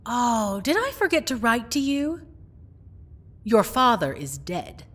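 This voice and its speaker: A woman playing a cold, scheming royal stepmother in a fantasy audio roleplay, mockingly sweet and condescending, with drawn-out taunts. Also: a little high, big pitch swings, fairly bright tone, full character voice. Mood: cold, taunting.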